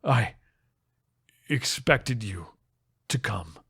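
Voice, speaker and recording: A man voicing a proud, suspicious king in a fantasy audiobook, intense, hushed and strained. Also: medium pitch, expressive, very slow, gravelly, slightly rough, no room sound, clean studio sound, exaggerated voice. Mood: strained, dying. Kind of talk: dramatic fantasy audiobook reading.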